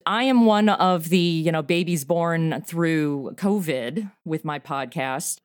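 A lively woman in her forties talks over a remote call in a bright, chatty way, laughing easily and using casual fillers. Her voice sits fairly low, and the sound is dry and close. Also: takes it slowly, clean tone, no echo. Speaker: podcast creator and guest. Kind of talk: casual interview chat.

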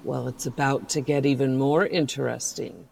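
Low, creaky storytelling aunt played by a woman. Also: steady tone, darkish tone, full character voice. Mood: composed, mysterious. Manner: measured storytelling. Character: composed, indulgent aunt telling a spooky bedtime story. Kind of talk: audio drama acting.

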